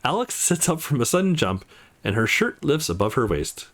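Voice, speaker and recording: A man reading visual novel narration aloud in an expressive storytelling style for a let's play. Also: medium pitch, normal speed.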